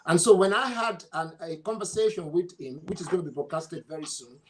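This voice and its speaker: A man with a fairly high voice. He speaks as a clergyman in a panel discussion, explaining his experience in short broken phrases. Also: lively intonation, brisk, slight background noise. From Africa, Nigeria.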